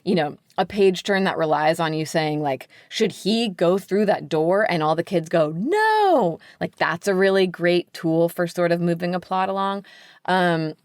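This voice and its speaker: A woman's voice: lowish voice, lively intonation, a little slow, no echo, studio quality. A woman talking casually as an interview guest, explaining things in a chatty way with lots of "like" and "sort of". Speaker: podcast guest, children's book editor.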